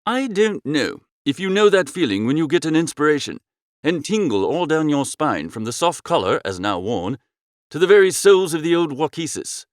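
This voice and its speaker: A man narrating a comic first-person audiobook in a breezy, theatrical, chatty gentlemanly style. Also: fairly high pitch, very animated, moderate pace, no echo, clean studio sound.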